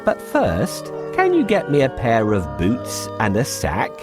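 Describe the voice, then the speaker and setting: A man's voice: medium pitch, huge pitch swings, a little slow, over music. A man voicing a clever, confident talking cat for a children's story, polite and persuasive in a theatrical way.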